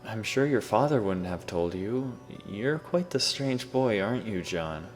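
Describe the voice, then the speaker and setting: A man voicing a calm, condescending suited scientist who speaks gently. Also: lowish voice, a little slow. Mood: smug calm. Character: calm, smug male scientist in a suit. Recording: reverberant room with faint music.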